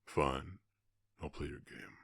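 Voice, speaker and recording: Casting: orc cowboy voiced by a man for ASMR roleplay, extremely low, fierce yet protective, terse, very dry sound. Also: takes it slowly, creaky, a bit bright, breathy edge, no echo, exaggerated voice. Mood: grim menace.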